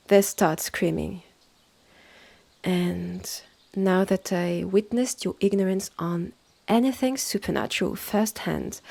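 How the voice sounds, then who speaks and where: A woman with a French accent voicing a stern, haughty witch in an audio roleplay, condescending and deliberate, with a creaky, partly hushed delivery. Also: on the low side, full character voice. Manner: condescending, deliberate, clipped phrases with exasperated sighs. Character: stern, haughty witch innkeeper, sardonic and impatient. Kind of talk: scripted second-person audio roleplay, close and measured.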